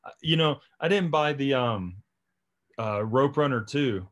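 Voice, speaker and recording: A man's voice: medium pitch, lively intonation, unhurried, fairly bright tone. A man with a mid-range voice hosts a podcast. He chats casually and leans on words for emphasis, repeating them and tagging on little check-ins as he goes. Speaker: podcast host.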